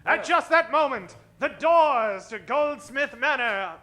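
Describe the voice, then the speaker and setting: A man announcing and narrating an old-time radio serial, booming and theatrical, with drawn-out emphasis on key words. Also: animated, bright, forceful tone.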